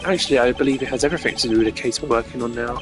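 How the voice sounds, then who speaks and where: A formal young male prosecutor from a video game, acted out by a man, reasons in a measured, analytical way. Each phrase is deliberate, and music plays clearly behind him. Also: medium pitch, natural voice. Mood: assertive, reasoning.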